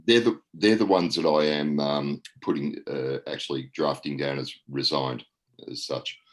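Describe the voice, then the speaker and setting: An Australian man with an extremely deep voice reports at an online meeting. He hesitates and rambles, filling gaps with ums and uhs. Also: steady tone, measured pace. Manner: hesitant, many fillers, rambling. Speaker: registrar reporting at an annual general meeting.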